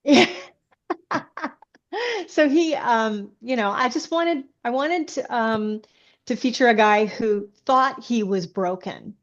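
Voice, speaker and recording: A woman author being interviewed, chatting casually and explaining things in a halting, hesitant way. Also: fairly high pitch. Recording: dull, band-limited audio.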